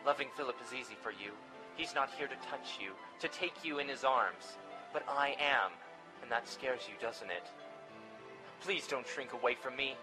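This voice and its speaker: A man's voice: medium pitch, moderate pace, over music, close to an everyday voice, low-quality recording. A man playing a possessive, lovesick suitor, pleading and goading in a dramatic gothic audio drama style. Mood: insistent, possessive. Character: possessive, lovesick male suitor, intense, insistent.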